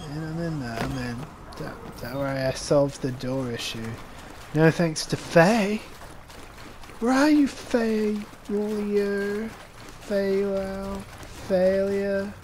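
A man's voice: fairly high pitch, slight background noise. A man giving improvised, joking, mock-heroic commentary in character as he plays a video game. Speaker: gamer voicing the protagonist as a joke. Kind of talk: improvised comic gameplay commentary. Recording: loud gunfire and game sound behind. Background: game gunfire and ambience.

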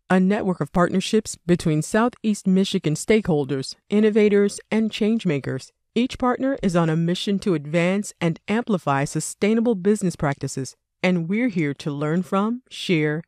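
A woman reading a scripted podcast intro in a smooth, even voice. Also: lowish voice, no room sound. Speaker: podcast announcer. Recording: dry studio voice-over with soft music.

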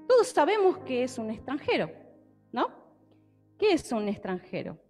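A woman's voice: sits high, darkish tone. A young woman from Argentina with an Argentine accent, preaching a sermon on a handheld mic in a church hall and often checking in with her listeners. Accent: Argentine Spanish. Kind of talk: sermon with Bible reading. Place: church hall with a stage, indoors. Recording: long church hall reverb.

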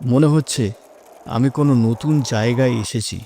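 A man's voice: mid-range voice, normal speed, dark tone, a little hiss or hum. A man narrating a scripted first-person horror story in a dramatic, suspenseful manner.

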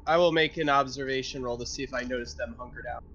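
A man with a bright, mid-range voice talks as a player at a tabletop game. He speaks casually, working out his moves aloud and a little tentatively. The recording is slightly dull and lo-fi. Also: medium pitch, fairly even intonation, fast talker, slight background noise.

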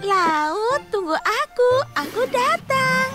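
A woman's voice: very high-pitched, animated, very slow, full character voice. A woman voicing a cheerful, bold little girl car in a preschool cartoon, with singsong, exclamatory speech. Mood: playful, excited. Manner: singsong, exclamatory, addresses things playfully. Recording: music under the voice.

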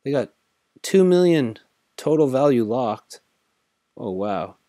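A man's voice: mid-range voice, sing-song, slow pace, mellow, no room sound, studio quality. A tired man casually thinking aloud while walking through a screen-recorded tutorial, rambling with many fillers. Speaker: crypto tutorial host.

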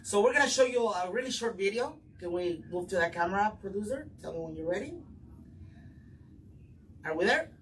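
A man's voice: sits high, lively intonation, brisk, long pauses, fairly bright tone. A man hosting a casual interview in a small office studio, enthusiastic and full of praise, with plenty of fillers. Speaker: talk show host. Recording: distant mic with faint background noise.

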